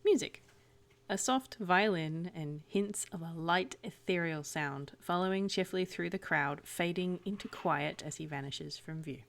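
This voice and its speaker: A woman's voice: on the low side, a little slow, creaky. A woman reading tabletop roleplay aloud as story narration.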